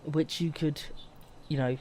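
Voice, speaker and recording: A man's voice: a little high, fairly even intonation, a little slow, a little mellow, slight background noise. Man rambling through a casual podcast chat. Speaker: gaming podcast co-host.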